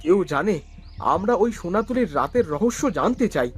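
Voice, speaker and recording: A man's voice: high voice, big pitch swings, a little fast, soft background music. A man playing a wary, curious young hero who also narrates a horror cartoon dramatically.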